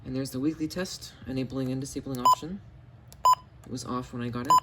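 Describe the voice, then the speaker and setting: A man's voice: mid-range voice, brisk, heavy background noise. A man giving a casual hands-on product demonstration on a home camera recording. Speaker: video host, hobbyist collector. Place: indoors in a home basement.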